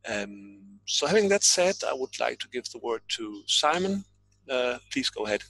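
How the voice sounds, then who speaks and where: A man's voice: mid-range voice, sing-song, moderate pace, creaky, fairly bright tone, dry recording, low-quality recording. A man chairing an online thesis defence, making formal announcements and handing over the floor. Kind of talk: chairing a meeting.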